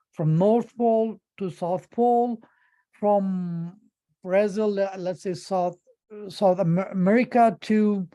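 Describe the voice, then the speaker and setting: A middle-aged man with a Turkish accent presents informally. His voice is very soft-edged and mellow, and he speaks haltingly, repeating words as he feels for the next phrase. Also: high-pitched. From Turkey.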